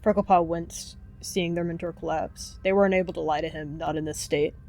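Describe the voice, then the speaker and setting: A woman's voice: fairly low pitch, fairly even intonation, fairly quick, mellow, faint music behind. A woman narrating a dramatic roleplay story as a storyteller.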